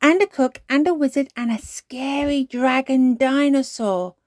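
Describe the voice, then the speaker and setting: A woman's voice: high voice, lively intonation, slow, warm tone, no echo, clean studio sound, voice pushed up. A woman voicing an eager, insistent little girl pig in a children's storybook, with a bouncy, exclaiming way of talking. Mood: eager, excited.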